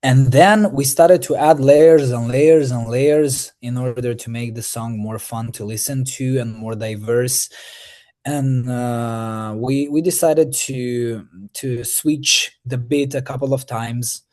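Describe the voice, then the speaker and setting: A man's voice: mid-range voice, steady tone, slow, darkish tone. A young man from Moldova, answering interview questions in English with many fillers and restarts. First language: Romanian. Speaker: Eurovision artist.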